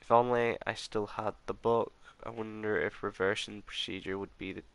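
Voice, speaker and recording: A man hosting a let's play who sounds slightly nasal from allergies, musing and reading in-game documents aloud in a soft murmur. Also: fairly low pitch, steady tone, moderate pace, creaky, smooth. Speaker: gaming channel host.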